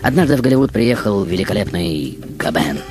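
A man acts out a glamorous screen diva in a radio monologue, boastful and arch. The voice is low, moving theatrically between hushed confiding and loud flourishes. Music plays clearly behind it. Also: sing-song, a little fast. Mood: wry, dramatic storytelling. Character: glamorous aging female film star recalling lovers, theatrical, boastful. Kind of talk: dramatic first-person radio monologue.

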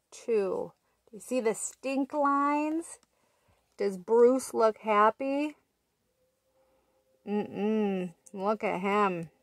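Woman reading a picture book to little kids really slow, kinda high voice, dry webcam sound. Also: fairly bright tone, dry recording. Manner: slow, sing-song, asks little listeners questions. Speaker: teacher reading aloud. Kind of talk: picture book read-aloud for toddlers. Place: small room indoors. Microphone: webcam at arm's length.

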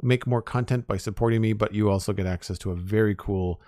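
Male streamer, low voice, slightly hoarse, relaxed chatty delivery, dry close sound. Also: quick pace, no room sound. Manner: relaxed, chatty, talks to chat. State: losing his voice. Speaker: Minecraft streamer. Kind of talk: casual live-stream chat while building.

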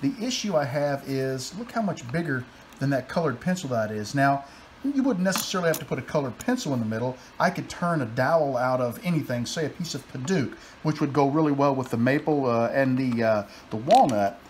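A man's voice: mid-range voice, fairly quick, a little hiss or hum. Chatty man in a home workshop. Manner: relaxed, chatty, with many "uh"s and restarts. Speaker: woodworking and metalworking hobbyist. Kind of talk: casual workshop vlog. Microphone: phone camera held at arm's length.

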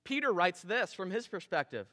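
A man in his thirties preaching a casual, animated sermon on a church stage. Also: high voice, moderate pace. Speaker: pastor. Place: church stage with drum kit. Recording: long hall reverb in church.

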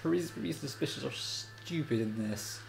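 A man with a bit of a stuffy nose chats offhandedly over his gameplay, in a middle-pitched everyday voice. A faint hum of room ambience sits underneath. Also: normal speed, vocal fry. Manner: relaxed, chatty, offhand. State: sniffly, stuffy-nosed. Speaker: let's play host. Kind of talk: casual gameplay commentary.

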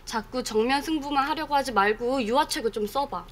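A woman's voice: sits high, brisk, slight background noise, close to an everyday voice. A woman in her twenties playing a sensible, blunt friend who advises matter-of-factly in a sitcom. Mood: coaxing. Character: sensible, blunt young friend giving advice. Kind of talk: sitcom acting.

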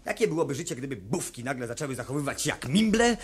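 A man playing an earnest, grumbling little storybook creature in a children's radio play, indignant and fussily matter-of-fact, with a husky voice. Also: medium pitch, sing-song, fast, heavy background noise, lightly acted. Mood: puzzled, indignant.

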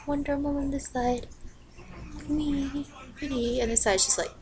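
A woman's voice: high-pitched, steady tone, unhurried. Hyper young woman rambling at a webcam. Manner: rambling and hyper, filler-heavy. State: voice low and rough from the cold. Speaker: vlogger. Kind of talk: casual webcam rant. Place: bedroom, indoors. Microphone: built-in webcam mic. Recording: noisy webcam recording with TV behind. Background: TV playing in the background.

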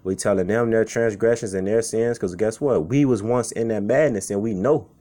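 A man's voice: on the low side, moderate pace, dark tone. Casual-talking man preaching scripture. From Chicago. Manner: conversational, repeats "man" and "okay". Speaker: street preacher. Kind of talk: informal sermon with scripture reading.

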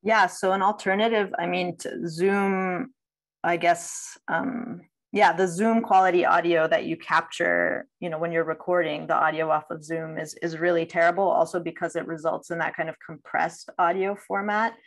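A woman's voice: on the low side, steady tone, moderate pace. A woman in her thirties giving thoughtful, winding, self-correcting panel answers over a video call. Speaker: panelist, audio producer. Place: indoor room.

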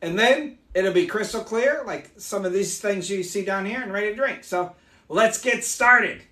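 A man in his forties, hoarse from losing his voice, chatting upbeat as he demonstrates mead making live in a small room. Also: sits high, moderate pace, pushed and bright, echoey room. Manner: chatty, upbeat, explains as he works. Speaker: meadery owner hosting a live stream. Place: small home meadery room, indoors.

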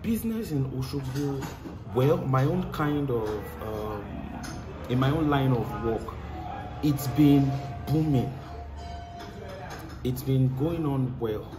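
A man's voice: mid-range voice, slow pace, over music, poor audio. Earnest Nigerian man outdoors. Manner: earnest, gestures a lot, repeats phrases for emphasis. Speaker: mechanic. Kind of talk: casual interview answers. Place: outdoor workshop by a tiled wall. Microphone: lapel mic. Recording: clear outdoor background noise.

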